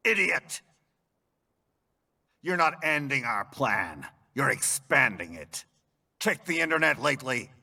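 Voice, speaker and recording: An actor playing a smug, bombastic American politician villain in a video game, with a taunting, theatrical drawl. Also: male voice, medium pitch, sing-song, slow pace, vocal fry, pauses often, pushed and bright, in a large hall, exaggerated voice. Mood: mocking. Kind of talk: video game cutscene acting.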